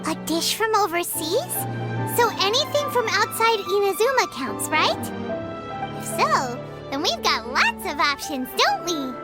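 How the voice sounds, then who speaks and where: A woman's voice: very high-pitched, big pitch swings, a little slow, exaggerated voice. A woman voicing a tiny, bubbly fairy companion who talks about herself in the third person. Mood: cheerful. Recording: game audio over loud music.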